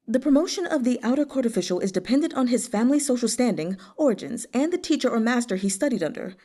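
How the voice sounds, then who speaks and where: An envious male eunuch of the imperial court, played by a woman reader, pitched high. He complains in a plaintive, deferential way, always fretting, like a character in an audiobook period novel. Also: animated, quick pace, mellow. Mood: aggrieved. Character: fretful, envious male palace eunuch official.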